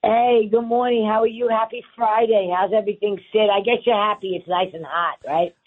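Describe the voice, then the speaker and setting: A woman's voice: medium pitch, fairly even intonation, fairly quick. A woman politician calling in to a radio show, chatting in an upbeat way. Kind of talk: casual phone chat. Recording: tinny phone line.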